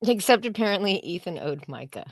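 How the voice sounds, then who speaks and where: A woman's voice: medium pitch, big pitch swings, brisk. A woman chatting casually as a show's co-host. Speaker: show co-host and editor. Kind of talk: casual chat.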